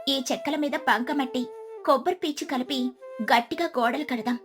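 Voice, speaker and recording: A woman's voice: high-pitched, moderate pace, soft background music, close to an everyday voice. A woman voicing a clever, caring, cheerful female sparrow in a children's cartoon story, eagerly explaining things. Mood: eager planning.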